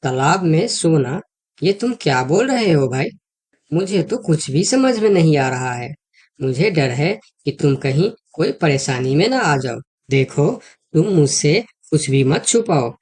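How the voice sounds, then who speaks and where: A man's voice: fairly high pitch, lively intonation, unhurried, darkish tone. A man voicing a cautious, caring deer who advises a friend in a children's cartoon story. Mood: worried. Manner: concerned, advising.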